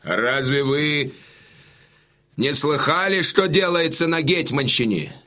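Casting: aggrieved Cossack messenger, high sharp male voice, slow emphatic radio-play declamation. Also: expressive, full character voice. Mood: grim, scornful. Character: agitated ragged Cossack messenger bearing grim news, indignant. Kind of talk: theatrical audio drama declamation.

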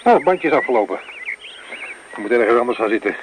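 An actor playing a blunt, skeptical man in a radio play, speaking gruffly and dismissively. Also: medium pitch, expressive, fast, noisy background, close to an everyday voice.

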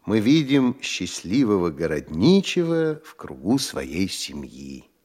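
A man narrates a theatrical audio play. His voice is on the low side, and he sets each scene slowly, with broad, expressive swings in intonation.

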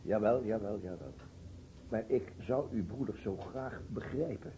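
A man's voice: on the low side, unhurried, background noise, lightly acted. A man acting in a radio play as an agitated, pleading boyar ruler who repeats himself and speaks effusively. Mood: earnest pleading. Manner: effusive, repeats himself, swears earnest oaths. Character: agitated, pleading male boyar ruler of a war-torn realm.

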